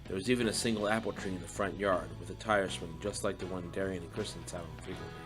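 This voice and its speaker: A man's voice: sits low, fairly even intonation, quick pace, over music. A man reading scripted fiction as the narrator of a dramatized audiobook.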